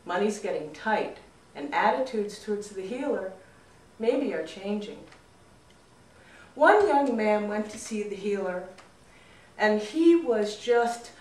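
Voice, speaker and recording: Slow, dramatic woman storyteller in echoey room. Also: medium pitch, darkish tone. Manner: deliberate, dramatic phrasing. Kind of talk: expressive folk tale narration. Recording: roomy echo, distant mic.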